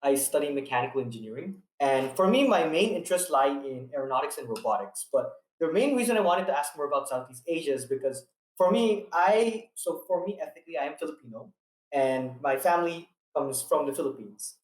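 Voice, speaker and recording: A man's voice: on the high side, lively intonation, fairly quick, reverberant, rough recording. A young man in his twenties from Saipan, a student guest who asks questions politely and earnestly. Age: 20s. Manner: polite, earnest, some restarts. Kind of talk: interview answers and questions.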